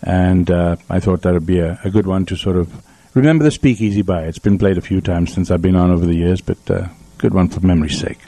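A man hosting a casual radio chat in a studio, agreeable and quick to chime in. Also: deep voice, warm tone. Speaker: radio show host and musician.

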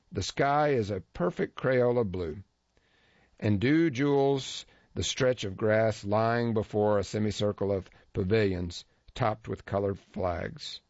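A man narrates a first-person feature story with a wry, dry humor. His voice sits on the low side. The recording is dull and lo-fi, with a close, dry sound and no room echo. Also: measured pace, a little mellow.

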